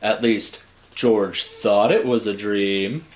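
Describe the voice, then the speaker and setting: A man's voice: on the low side, slow, slight background noise. A man narrating a story like a storybook, theatrical and dramatic, with wry asides.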